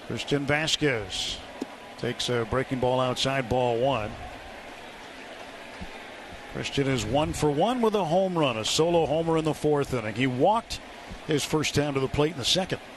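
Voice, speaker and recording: A man doing live radio play-by-play baseball commentary in measured, short phrases with pauses, as the announcer. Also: medium pitch. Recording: clear stadium noise behind. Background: outdoor ballpark ambience.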